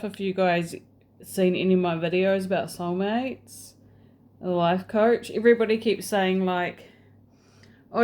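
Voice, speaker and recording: A woman with a New Zealand accent talks slowly and casually to her camera, pausing often with ums and likes. Her pitch sits mid-range for a woman, and she drifts between thoughts with frequent restarts. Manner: hesitant, many fillers and restarts. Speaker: vlogger. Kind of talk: casual rambling vlog.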